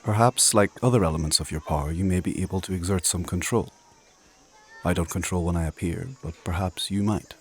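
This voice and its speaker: A man playing an ancient immortal spirit mentor in a dark fantasy audio drama, speaking in measured, formal, old-fashioned phrases with solemn, knowing authority. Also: low voice, natural voice. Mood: grave counsel.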